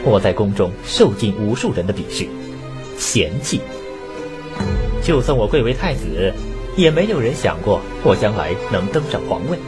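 A man's voice: on the low side, very animated, normal speed. A man voicing a cunning, resentful young crown prince, mocking and intense, in a Chinese costume radio drama. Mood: mocking resentment. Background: background music.

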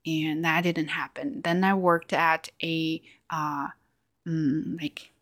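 A young woman with as her chats in English on her own podcast. Her voice sits a little low, and she talks thoughtfully, often backing up to restart a phrase or correct herself. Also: level tone, slow pace, a bit bright. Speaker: podcast host. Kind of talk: casual solo chat to listeners.

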